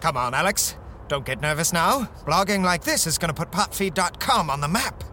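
A man's voice: on the high side, animated, moderate pace, background noise, natural voice. A man playing a brash, sarcastic blogger in a radio play, wry and snippy. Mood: nervous muttering.

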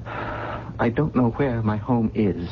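Courteous, unsure gentleman who is lost, mid-pitched, formal, on a dull old radio recording. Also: expressive, takes it slowly, a little hiss or hum. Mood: lost, pleading. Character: polite, lost, well-dressed gentleman with amnesia. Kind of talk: 1970s radio drama acting.

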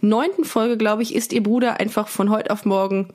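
A woman's voice: medium pitch, steady tone, moderate pace, a little mellow. A woman hosting a podcast in a casual, humorous chat, often breaking off and restarting her phrases. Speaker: podcast host.

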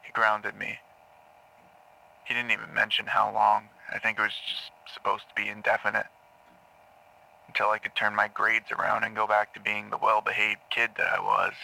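Troubled male patient on a therapy tape: lowish, creaky, piercingly edged, halting confessional delivery for audio drama. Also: pushed and bright, heavily acted, rough recording. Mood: bitter regret. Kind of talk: audio drama monologue on taped therapy session. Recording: old cassette tape playback.

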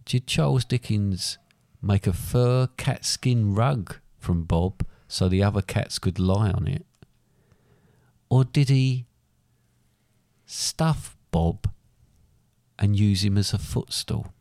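Slow dry-voiced man reading quiz questions. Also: lowish voice, gravelly, mellow, no room sound. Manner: reads questions slowly and deliberately. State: losing his voice, clearing throat. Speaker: podcast co-host running a quiz.

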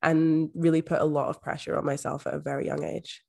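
A young woman from Scotland with a soft Scottish accent, a podcast guest speaking openly and reflectively in a casual interview. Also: sits low, little pitch movement, brisk, creaky. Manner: open, reflective, uses "like" often. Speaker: podcast guest, mindset coach.

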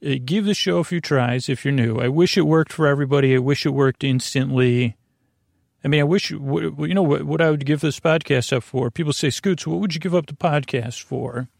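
A man with a creaky voice hosting a sleep podcast, speaking in a meandering, halting bedtime monologue full of soothing tangents and mild whimsy. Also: fairly high pitch, a little mellow, no echo, studio quality. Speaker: podcast host.

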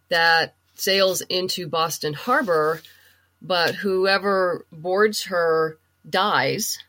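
Bright-voiced middle-aged woman in conversation. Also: fairly low pitch. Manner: chatty, explanatory. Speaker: podcast guest, writer and producer. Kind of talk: casual interview talk.

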